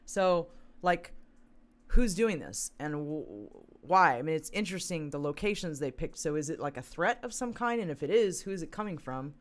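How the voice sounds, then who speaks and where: Brief: woman co-host, hoarse from a long talk, casual and rambling, fillers and throat clearing. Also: low voice, very animated, normal speed. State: hoarse, losing voice. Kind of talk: casual chat, reading news aloud.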